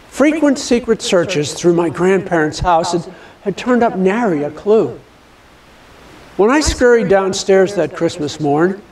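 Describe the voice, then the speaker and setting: A man in his seventies reading a personal holiday reminiscence in a measured, deliberate storytelling manner with dramatic pauses. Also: high voice, darkish tone, far from the mic, low-quality recording. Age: 70s.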